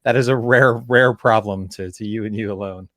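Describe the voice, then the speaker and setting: A man from Canada hosting a casual interview, speaking haltingly and repeating words as he goes. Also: on the low side, steady tone, a bit bright, no echo, studio quality. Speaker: show host and interviewer.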